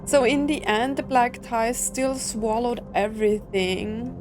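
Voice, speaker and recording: A woman's voice: fairly high pitch, slow, over music. A woman giving casual reaction commentary as a game streamer, thinking aloud and asking questions as she goes.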